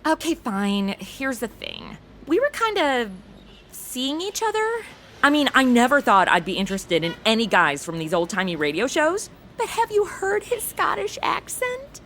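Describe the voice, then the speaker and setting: A woman's voice: high-pitched, huge pitch swings, normal speed, a bit bright, a little hiss or hum, full character voice. An actress playing a brash, flippant young woman in a comedy audio drama, full of modern slang and sass. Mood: defensive, sassy. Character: brash, sassy modern young woman stuck in radio shows.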